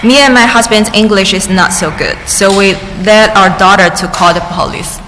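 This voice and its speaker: A woman interpreting testimony into English at a public hearing, halting at times, with restarts and cut-off words. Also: mid-range voice, a bit bright, a little hiss or hum. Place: large public hearing chamber. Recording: echoey hearing room with faint ambience.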